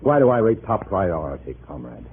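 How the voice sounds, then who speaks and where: An actor playing a bitter, tense undercover agent posing as a Communist, in hard-boiled 1950s radio style. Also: male voice, low-pitched, very animated, moderate pace, a little hiss or hum. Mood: defensive. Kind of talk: 1950s radio acting, hard-boiled first-person narration. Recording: muffled vintage radio drama, faint music.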